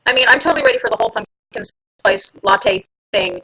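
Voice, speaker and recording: A woman's voice: a little high, fairly quick, bright, forceful tone, breathy edge, low-quality recording. A woman hosting a live stream from home, chatting casually and playfully with her viewers and teasing herself. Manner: chatty, playful, self-mocking. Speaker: livestream host.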